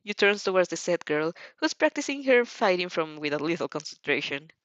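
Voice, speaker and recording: Female fan fiction narrator, expressive pitch, slightly stumbling phrasing, muffled recording. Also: brisk, no room sound. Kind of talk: animated second-person story narration.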